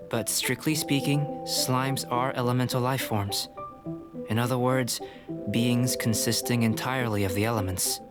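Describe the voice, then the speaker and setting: A man voicing a composed young alchemist in a video game, speaking in a measured, lecturing way. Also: medium pitch, lightly acted. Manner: measured, lecturing, coolly confident. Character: calm young male alchemist scholar, composed and aloof. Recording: studio game voice with music behind. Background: game music.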